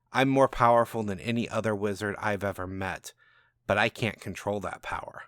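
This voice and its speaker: A man as Frankie, a cocky low-voiced rogue wizard. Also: fairly bright tone, no room sound, studio quality. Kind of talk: first-person storybook narration.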